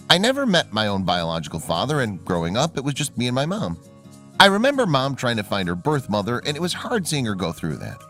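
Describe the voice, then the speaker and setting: A man reading a scripted human-interest story in a measured storytelling delivery. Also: medium pitch, fairly bright tone. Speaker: narrator.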